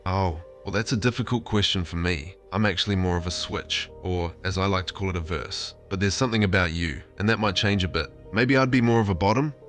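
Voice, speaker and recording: A low, earnest AI companion with a man's synthetic voice speaks tenderly, like a lovestruck therapist. The manner is affectionate and coaxing, with music softly behind it. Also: fairly quick, hardly any pauses. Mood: coy. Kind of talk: AI voice chat, intimate conversation.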